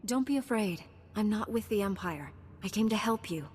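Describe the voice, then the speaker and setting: Casting: actress as self-assured warrior knight for a sci-fi game, calm, blunt, mid-pitched, ringing hall acoustic. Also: female voice, moderate pace, natural voice. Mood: reassuring. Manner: calm, matter-of-fact, assured. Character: composed, blunt female Jedi knight. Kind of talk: scripted video game cutscene acting. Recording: long reverberant hall, faint background rumble.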